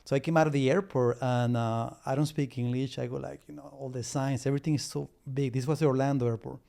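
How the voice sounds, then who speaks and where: A man's voice: mid-range voice, fairly even intonation, fast talker, vocal fry, warm tone. A middle-aged man from Peru, a business litigation lawyer, talking as an interview guest, whose first language is Spanish, with frequent restarts and fillers. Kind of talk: casual interview talk.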